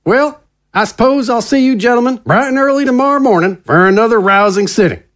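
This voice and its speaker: An actor playing a slick, glad-handing conservative politician in an audio drama, with a folksy, smooth insider's manner. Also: male voice, very high-pitched, brisk, a bit bright. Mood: brisk, confident.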